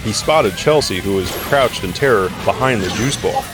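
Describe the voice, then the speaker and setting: Male narrator, lowish pitch, dramatic scripted storytelling, clear music bed, audio drama. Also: expressive, moderate pace.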